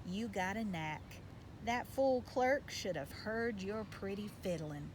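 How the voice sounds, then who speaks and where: A woman's voice: medium pitch, lively intonation, slow pace. Woman reading as folksy, loving baseball dad, noisy recording. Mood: warm, wry. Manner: folksy, drawling phrasing. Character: proud, loving baseball coach father, adult man. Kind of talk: storybook read-aloud. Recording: loud background noise.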